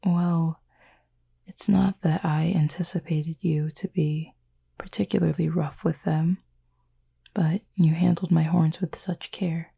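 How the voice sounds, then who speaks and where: A woman playing a gentle, composed horned cupid roommate in an intimate roleplay audio, soft-spoken, measured and formally worded, tenderly teasing and reassuring. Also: low-pitched, flat delivery, heavily acted. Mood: softly amused. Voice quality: soft, breathy, husky.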